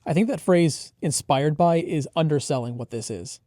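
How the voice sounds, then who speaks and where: A man's voice: a little high, expressive, moderate pace, mellow, no room sound, studio quality. A man co-hosting a product preview, explaining features conversationally with easygoing, approving asides. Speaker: audio gear reviewer and co-host.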